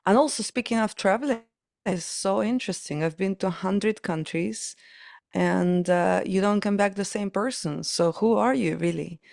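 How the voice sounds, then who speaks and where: A woman's voice: mid-range voice, moderate pace, creaky, darkish tone, dry recording, clean studio sound. A woman in her forties with a Ukrainian accent, speaking reflectively and telling stories as an interview guest. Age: 40s. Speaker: podcast guest, pianist and performance coach.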